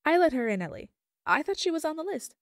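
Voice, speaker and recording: Woman as a high-pitched, earnest schoolgirl. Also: big pitch swings, fast, no echo, studio quality. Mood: nervous explaining. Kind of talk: audio drama acting.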